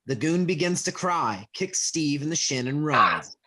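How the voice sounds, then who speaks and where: Stage directions reader: raspy, mid-pitched, straightforward scripted narration. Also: expressive, measured pace, bright, forceful tone.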